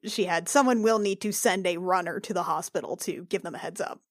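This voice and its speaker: A woman playing in a tabletop roleplaying podcast, chatting casually with joking asides and halting explanations of her character. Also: fairly low pitch, dry recording, clean studio sound.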